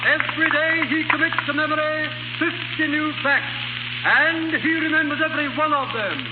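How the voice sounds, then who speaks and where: An actor in a 1930s radio drama playing a grandiose music-hall announcer with a declamatory, projected delivery. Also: male voice, very high-pitched, steady tone, moderate pace, narrow, tinny audio. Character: grandiose music-hall master of ceremonies, proud showman. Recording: echoey hall, thin noisy 1930s radio.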